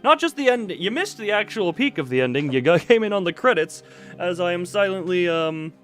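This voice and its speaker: Chatty male streamer, lively swinging delivery. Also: sits high, brisk, faint music behind. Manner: chatty, muttering asides to viewers. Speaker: live streamer. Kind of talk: casual game stream commentary mixed with reading dialogue aloud.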